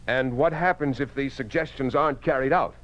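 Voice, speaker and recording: A man's voice: mid-range voice, fairly quick, fairly bright tone, close to an everyday voice. An actor playing a stern, principled newspaper boss in a 1950s radio drama, commanding and pointed in his questions, on a muffled old recording. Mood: challenging.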